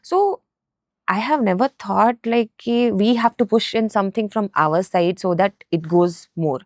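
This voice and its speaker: A woman from Nepal speaks in a casual, explanatory way, as a guest in an interview. Her pitch is average for a woman, and the recording is dry with very little room sound. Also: animated, a little slow, no room sound. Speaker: food truck business co-founder, podcast guest.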